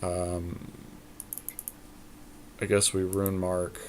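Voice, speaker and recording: A man's voice: deep voice, monotone, extremely slow, a little hiss or hum. A man co-hosting a podcast, giving casual commentary on a card game as he thinks his moves out aloud. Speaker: podcast co-host playing an online card game.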